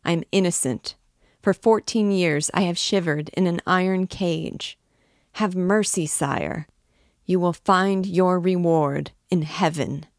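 A man's voice: high voice, slow pace, dry recording, studio quality, voice pushed up. A woman voicing a desperate male prisoner, begging the king for mercy in tearful pleas. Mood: pleading.

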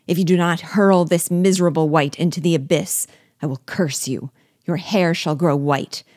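A woman's voice: low voice, a little mellow, no room sound, studio quality. A woman voicing a vengeful dwarf sorcerer in an audiobook, commanding and passionate, with a raised voice. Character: vengeful dwarf sorcerer, furious man hurling curses. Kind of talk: dramatic audiobook character reading.

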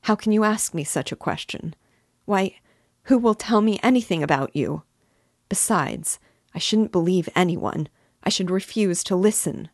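A woman's voice: medium pitch, animated, normal speed, dry recording, pitched above the performer's own voice. Anxious tearful mother with a raised, pleading voice, in close dry audiobook sound; a woman reader puts her on. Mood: worried. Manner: pleading, emotional, breaking into sobs. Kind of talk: audiobook character reading.

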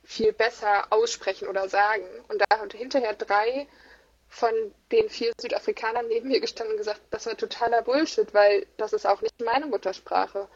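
A German woman in a casual conversation, talking in an animated but hesitant way with many fillers. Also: fairly high pitch, normal speed. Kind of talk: conversational chat. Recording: dull, lo-fi sound.

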